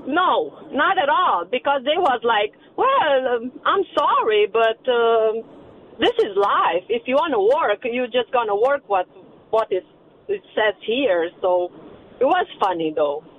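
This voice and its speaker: A woman's voice: on the high side, lively intonation, measured pace. A woman from Romania with a Romanian accent, calling into a radio show and speaking hesitantly with many fillers. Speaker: caller. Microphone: phone line. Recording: tinny phone line.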